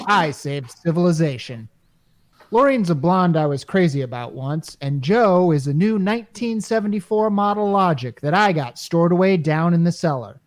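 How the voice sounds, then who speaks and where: A man's voice: fairly high pitch, a little mellow. A man playing a wry, cocky, down-to-earth repairman hero in a retro radio comedy. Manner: wisecracking, casual.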